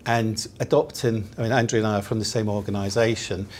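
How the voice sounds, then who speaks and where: Man on a business panel, lowish voice, measured, faint room hum. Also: fairly even intonation, darkish tone. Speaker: round table panelist. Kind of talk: panel discussion.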